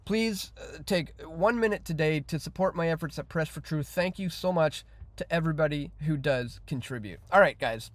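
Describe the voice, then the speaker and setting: A man giving off-the-cuff news commentary as an independent host, reading out headlines in a halting but emphatic way. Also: fairly high pitch, no echo.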